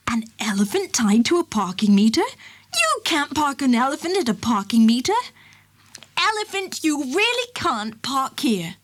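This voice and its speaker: Woman voicing a fussy traffic warden, high and shrill, exclaiming and scolding. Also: big pitch swings, moderate pace, a bit bright. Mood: stern.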